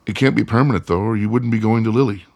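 A man's voice: fairly low pitch, fast talker, a little mellow, no room sound. A man playing a tense, skeptical grown son in a radio play, talking in a blunt, argumentative, evasive way. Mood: subdued, pointed.

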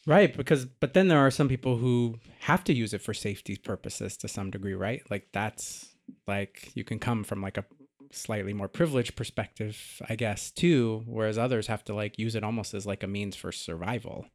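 A man's voice: lowish voice, normal speed. A man co-hosting a podcast, speaking reflectively with hesitations and fillers. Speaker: podcast co-host. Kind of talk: casual podcast conversation.

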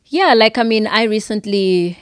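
A woman's voice: mid-range voice, normal speed, clear, resonant tone, no echo, clean studio sound. A young adult woman from Zimbabwe with a Zimbabwean accent, talking thoughtfully as a podcast guest. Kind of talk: casual conversation.

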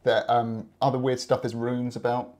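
A man's voice: mid-range voice, little pitch movement, darkish tone. A man co-hosting a podcast, making wry, teasing remarks in casual chat. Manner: wry, teasing asides, quick to laugh. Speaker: podcast co-host.

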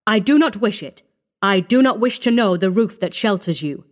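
A woman voicing a shabby old male drunkard in an audiobook story, blunt, loud, bitter and accusing. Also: mid-range voice, measured pace, natural voice. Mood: scornful, bitter.

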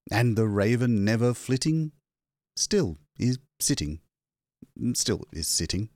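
A man giving a brooding, theatrical recitation of gothic poetry, with drawn-out emphasis. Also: on the low side, very animated, a little mellow, no echo, clean studio sound. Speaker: poetry reader.

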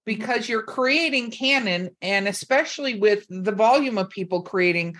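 A woman's voice: mid-range voice, animated, normal speed, fairly bright tone. A woman hosting a casual interview, chatting in an enthusiastic, blunt way. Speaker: podcast host interviewing an author. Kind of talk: casual chat.

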